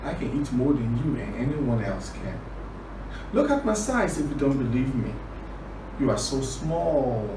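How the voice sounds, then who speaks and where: A man's voice: mid-range voice, very animated, unhurried, music in the background. A man voicing a big, boastful, quarrelsome elephant in a children's story, bragging with heavy emphasis. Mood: boastful, scornful. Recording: roomy, distant, clearly noisy recording.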